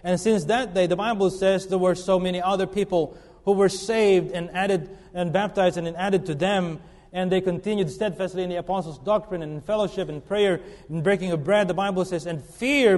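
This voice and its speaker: A middle-aged man from the Philippines, preaching a sermon in an earnest, halting way full of fillers and restarts. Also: high-pitched, fairly even intonation, darkish tone. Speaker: guest preacher. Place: church sanctuary. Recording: long reverb of a church hall.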